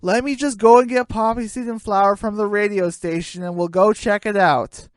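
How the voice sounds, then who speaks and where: A woman's voice: mid-range voice, fairly even intonation, moderate pace, no echo, clean studio sound, pitched below the performer's own voice, close to an everyday voice. A woman voicing an exasperated, sensible female chicken, weary and scolding.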